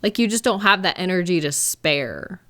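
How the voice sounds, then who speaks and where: Gentle, lower-pitched woman, reassuring partner acted. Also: expressive. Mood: thoughtful, gentle. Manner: gentle, hesitant, reassuring, thoughtful pauses. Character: caring, reassuring live-in partner, adult woman. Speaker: audio roleplay performer. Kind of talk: intimate one-sided comfort roleplay, conversational acting.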